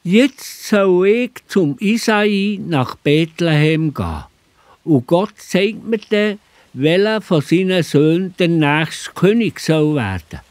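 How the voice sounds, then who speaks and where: A man with a Swiss accent playing an old, pious biblical prophet in a children's audio drama, deliberate and theatrical. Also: high voice, lively intonation, dry recording. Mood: solemn, expectant.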